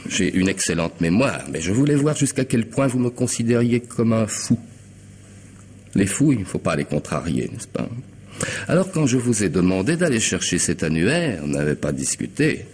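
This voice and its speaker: An actor playing a sardonic, half-mad hired killer in a French radio mystery, mocking and unhurried in his false courtesy. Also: fairly low pitch, big pitch swings, a little mellow, a little hiss or hum. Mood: teasing menace.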